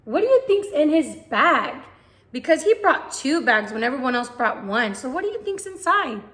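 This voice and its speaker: A woman reading a picture book aloud to young children, expressive and often putting questions to the listener. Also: high-pitched, moderate pace. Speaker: children's read-aloud host, teacher.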